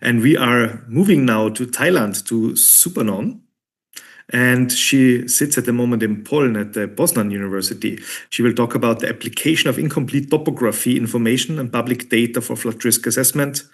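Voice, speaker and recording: A man's voice: medium pitch, moderate pace. Courteous man chairing a conference session. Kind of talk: conference moderation.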